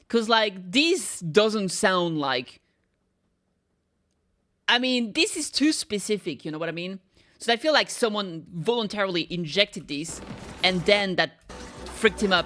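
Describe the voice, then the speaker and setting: A woman's voice: medium pitch, a bit bright. A woman giving casual reaction commentary, thinking aloud and often checking for agreement with little tag questions. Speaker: reaction video host.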